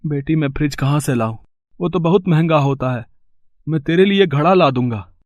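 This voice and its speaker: Casting: humble working father, weary and pleading, fairly high male voice, dull dry sound actor, cartoon moral tale. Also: lively intonation, normal speed, darkish tone, dry recording, close to an everyday voice. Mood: resigned, kind. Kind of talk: animated moral story voice acting.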